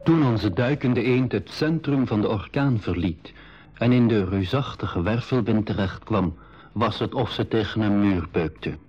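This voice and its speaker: A man narrating an adventure to young listeners in measured, scripted school radio style. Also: lowish voice, faint music behind, close to an everyday voice. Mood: measured, dramatic. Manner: measured storytelling. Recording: dull old radio recording.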